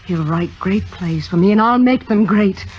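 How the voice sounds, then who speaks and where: A woman's voice: mid-range voice, moderate pace, husky, natural voice. A woman playing an ambitious, self-assured young stage actress in a 1950s radio drama, with a poised theatrical delivery. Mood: elated. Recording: dull vintage radio recording with music.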